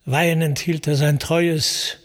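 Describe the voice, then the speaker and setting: A man's voice: fairly high pitch, steady tone, slow pace. A man narrating epic verse in a solemn, formal, declamatory storytelling manner.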